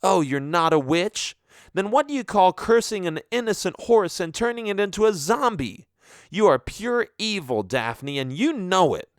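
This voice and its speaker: A man's voice: a little high, huge pitch swings, slow pace, fairly bright tone, no echo, clean studio sound, pitched above the performer's own voice, heavily acted. A man reading a story aloud, voicing a vain, scornful teenage girl who mocks and accuses in a raised voice. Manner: mocking, accusing.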